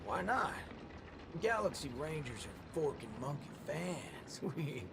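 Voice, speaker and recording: A drawling cowboy ranger. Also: male voice, a little high, sing-song, slow, gravelly, background noise, heavily acted. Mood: mocking. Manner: folksy drawl, mild euphemistic cursing. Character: gruff drawling cowboy ranger man, sardonic. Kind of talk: video game voice acting. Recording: game audio with music underneath.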